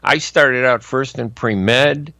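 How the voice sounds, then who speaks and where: A man's voice: medium pitch, takes it slowly, creaky, sharp tone, no room sound. A man in his sixties hosting a radio interview, talking in a conversational way and often asking for agreement. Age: 60s. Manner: conversational, seeks agreement with tag questions. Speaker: radio host and journalist.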